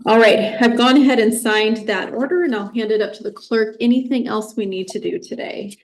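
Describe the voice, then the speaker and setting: A woman judge speaks from the bench in a measured, formal way. She stays firm and even while delivering her rulings. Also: a little high, slight background noise, rough recording. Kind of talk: courtroom ruling. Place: courtroom.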